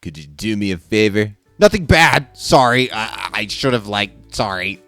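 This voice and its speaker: A man voicing an awkward, friendly surfer dad in a visual novel, halting, eager and apologetic. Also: lowish voice, expressive, bright, forceful tone, no echo, faint music behind. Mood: awkwardly friendly.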